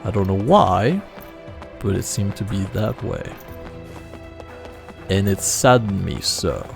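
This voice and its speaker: A man's voice: deep voice, slow pace, gravelly. A man giving casual video game commentary, reading text aloud and adding wry asides. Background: game music.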